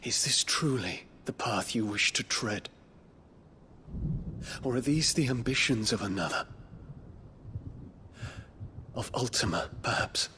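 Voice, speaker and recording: A man playing an earnest, proud warrior prince, speaking gravely and formally as a dutiful but wounded son in a fantasy game drama. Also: medium pitch, animated, slow pace, long pauses, bright, forceful tone, slight background noise. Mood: grave, wary.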